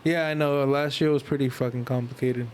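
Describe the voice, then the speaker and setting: A man banters casually. He is blunt and profane, heavy on slang, and the recording has clear background noise. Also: medium pitch, steady tone, fairly quick. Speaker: podcast co-host.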